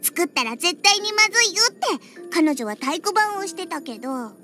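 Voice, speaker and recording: A woman's voice: very high voice, very animated, normal speed. A woman voicing a feisty little catgirl in an anime game, with a childlike, cutesy voice and a huffy, pouty manner. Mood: huffy, exasperated. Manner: pouty, huffy, with cat-like verbal tics. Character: feisty little catgirl bartender who hates alcohol. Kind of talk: Japanese anime game character acting. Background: background music.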